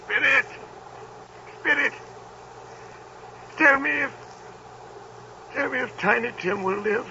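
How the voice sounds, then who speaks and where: A man's voice: very high voice, takes it slowly, long pauses, voice pushed up. A man voicing a desperate old miser who begs a ghost, in a 1930s radio drama. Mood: desperate pleading. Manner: pleading, cracked and strained. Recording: dull old radio broadcast with loud hiss.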